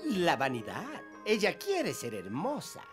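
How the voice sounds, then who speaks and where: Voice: medium pitch, huge pitch swings, slow pace, over music. A performer voicing a showy, fawning worm henchman in a Latin American Spanish cartoon dub, eager to please. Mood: eager briefing. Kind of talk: animated cartoon dubbing.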